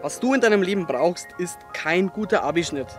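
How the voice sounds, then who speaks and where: A man's voice: high voice, lively intonation, brisk, soft background music. A man of 26 playfully hosting a Q&A video and reading out viewer questions, with a tongue-in-cheek manner. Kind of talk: upbeat scripted Q&A video presenting.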